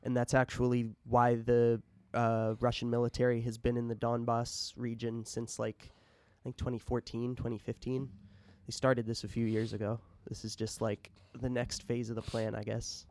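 A man co-hosting a podcast, speaking in a low-key, hesitant way as he looks things up. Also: completely flat delivery, moderate pace, a little mellow. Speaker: podcast co-host. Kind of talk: casual chat.

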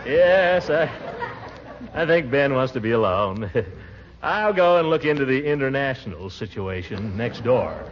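An actor playing a pompous, easily flustered middle-aged uncle in a 1940s radio comedy, hemming theatrically. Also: male voice, medium pitch, expressive, measured pace, a loud crowd, close to an everyday voice. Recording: dull, noisy old radio recording.

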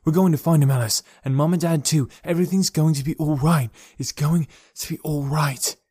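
Man voicing an urgent, higher-pitched young boy. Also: steady tone, brisk, darkish tone, breathy edge, no echo, studio quality. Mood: urgent, reassuring. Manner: urgent, earnest. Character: frightened, protective young boy fleeing villains.

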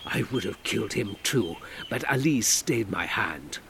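A man's voice: fairly low pitch, expressive, a little slow, creaky, a bit bright, a little hiss or hum, exaggerated voice. A man voicing a middle-aged Creole military veteran, loyal and violent, who spits menacing, contemptuous threats.

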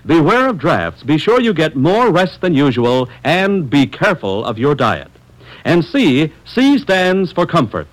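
A man announces in classic radio style, his voice a little high and swinging widely in pitch. He has the folksy, emphatic delivery of a sponsor's salesman. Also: very animated, measured pace, rough recording. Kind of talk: old-time radio advertising pitch.

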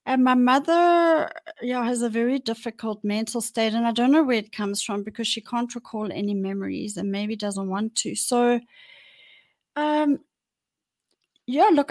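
A South African woman in her sixties, a podcast guest telling her life story frankly and bluntly, with many ums and restarts. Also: a little high. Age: 60s. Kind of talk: candid personal storytelling.

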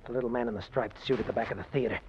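Guy playing a jumpy young musician in old radio drama, halting n evasive, medium pitch, muffled n hissy. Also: steady tone, a little mellow, natural voice. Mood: nervous. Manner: edgy, halting, repeats himself. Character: haunted, nervous, evasive young male violinist. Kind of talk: old-time radio melodrama acting.

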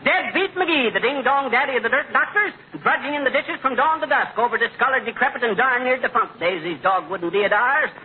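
A man's voice: very high-pitched, fairly quick, few pauses. A man playing a boastful, bumbling husband in 1940s-style radio comedy, with a folksy, wisecracking American delivery. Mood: showy bragging. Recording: noisy, tinny old radio recording.

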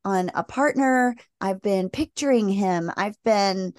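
A woman co-hosting a faith podcast at an ordinary middle pitch. Her chatty talk comes in quick bursts as she acts out quoted people, and her voice sounds close and dry. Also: darkish tone, no room sound. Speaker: podcast co-host. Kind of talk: casual faith podcast conversation.

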